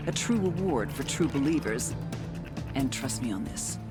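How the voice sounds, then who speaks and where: An actress voicing a cynical, tough intelligence agent, narrating video game cutscenes in a blunt, sardonic way. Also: female voice, very deep voice, lively intonation, moderate pace, music in the background. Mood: sardonic briefing. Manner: sardonic, blunt, foul-mouthed. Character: cynical, tough female intelligence agent briefing on cartel targets.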